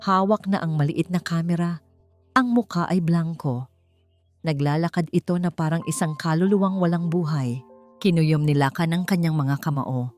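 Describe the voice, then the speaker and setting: A Filipino-accented woman narrates a dramatic story in a fairly low voice. Her delivery is measured and scripted, with music playing behind her. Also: a little mellow. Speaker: audiobook narrator. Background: background music.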